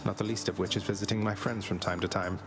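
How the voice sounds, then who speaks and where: An actor playing a suave young underworld prince in a game, polite, dry and understated. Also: male voice, on the low side, little pitch movement, fast, natural voice. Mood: earnest. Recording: loud music underneath.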